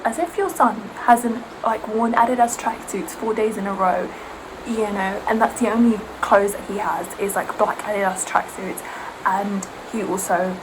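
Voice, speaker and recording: A woman's voice: mid-range voice, noisy background. A young woman whose first language is Serbian, chatting casually and sarcastically while getting ready, often mimicking others. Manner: animated, sarcastic, mimics aunties. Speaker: vlogger.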